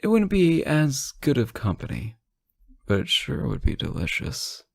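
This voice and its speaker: Man acting, drowsy fond boyfriend, intimate phone-call roleplay, very slow hesitant delivery, close dry sound, gently joking. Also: medium pitch, huge pitch swings, vocal fry, breathy edge, dry recording, full character voice. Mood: playful, fond. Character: sleep-deprived, teasing, affectionate boyfriend on late-night call.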